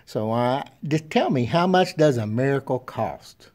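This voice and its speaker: A man's voice: medium pitch, expressive, measured pace, darkish tone, lightly acted. A man voicing a small, earnest little girl who pleads and stammers. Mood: pleading.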